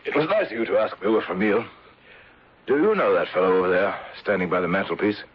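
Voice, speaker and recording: Formal English office chief, radio drama. Very deep, measured, watchful; muffled vintage broadcast sound. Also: male voice, expressive, breathy edge. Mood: polite. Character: Edgar Sampson, shrewd, wary English insurance manager. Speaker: actor narrating and playing the lead. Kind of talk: 1970s radio drama acting. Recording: dull old radio recording with music.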